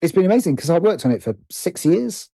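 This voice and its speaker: A man's voice: fairly high pitch, sing-song, quick pace, creaky, dark tone, dry recording. A British man speaking as a podcast guest in casual conversation, hesitant and thoughtful as he circles around his points. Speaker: podcast guest, writer and producer.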